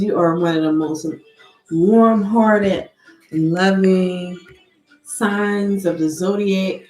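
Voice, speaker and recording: A woman giving a tarot reading who talks slowly and deliberately, sniffling from allergies, and calls on spirits in a measured, invocation-like way. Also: lowish voice, roomy sound, rough recording. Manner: slow, soft and deliberate, with an invocation-like cadence. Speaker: tarot card reader.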